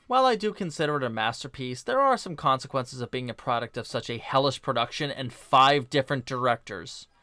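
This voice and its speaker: A man's voice: mid-range voice, lively intonation, a little fast, few pauses, sharp tone, no echo. A man narrating a scripted film review as host, with casual, opinionated, conversational asides.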